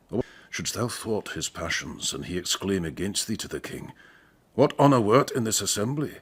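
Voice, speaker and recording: A man's voice: lowish voice, breathy edge. An actor in a classical verse radio drama playing a stern, authoritative duke and father, speaking formally. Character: authoritative noble Spanish duke, an anxious father.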